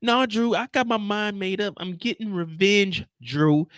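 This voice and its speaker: A man's voice: unhurried, fairly bright tone, smooth, no room sound, studio quality. A man doing a fed-up vengeful woman. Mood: defiant. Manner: high, defiant and blunt. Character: angry young woman set on revenge.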